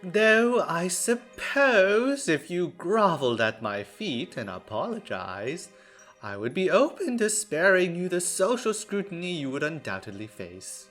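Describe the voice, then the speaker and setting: A smug, scheming young nobleman from a visual novel. He taunts in a mock-sweet, haughty way, with big theatrical swings in pitch. His delivery is unhurried, over faint music. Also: big pitch swings, a bit bright, full character voice. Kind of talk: dramatic visual novel character acting.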